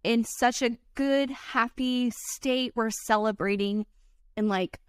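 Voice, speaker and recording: A woman's voice: fairly high pitch, slow, clean tone, dry recording, clean studio sound. A woman wedding filmmaker, a podcast guest, talking in an earnest, chatty way full of "like" and "you know". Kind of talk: casual podcast chat.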